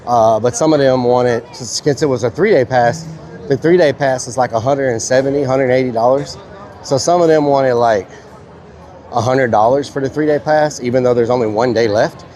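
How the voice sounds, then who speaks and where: A man's voice: mid-range voice, moderate pace, a little mellow. A congested man chatting casually to his live-stream viewers as he walks around a busy comic convention, carrying a handheld streaming camera. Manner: rambling, chatty, talks to viewers. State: congested, stuffy-nosed.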